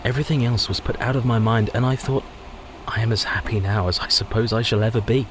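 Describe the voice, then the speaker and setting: An actor playing a protective, troubled young Victorian husband in a radio play, formal and earnest. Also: male voice, medium pitch, steady tone, fast talker, slight background noise, natural voice, low-quality recording. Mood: tender, grave.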